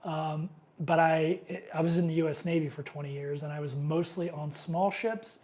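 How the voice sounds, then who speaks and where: A man's voice: fairly high pitch, steady tone, moderate pace, a little mellow. A middle-aged man with a cold, sniffling as he hosts a casual live question-and-answer stream, rambling loosely with many fillers. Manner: loose and rambling, many fillers, reads viewer comments aloud.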